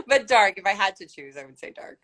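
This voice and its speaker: A woman actress being interviewed in a casual chat, relaxed and rambling, laughing often. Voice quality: calls her own voice deep. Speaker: guest actress.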